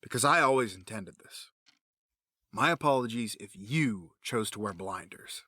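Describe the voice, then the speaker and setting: A man playing a cold, grieving villain in an audio drama, menacing, sardonic and quick to justify himself. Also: fairly low pitch, animated, sharp tone, breathy edge, no room sound, exaggerated voice. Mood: cold, cynical. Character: cold, obsessed male villain, a grieving widower running experiments.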